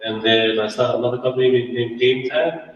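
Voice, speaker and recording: A man's voice: mid-range voice, steady tone, fairly quick, muffled recording. A man gives a casual, chatty talk as a guest speaker, joking about himself. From New Zealand. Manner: chatty, laughing, self-mocking. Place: event hall. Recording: distant, echoey hall with some noise.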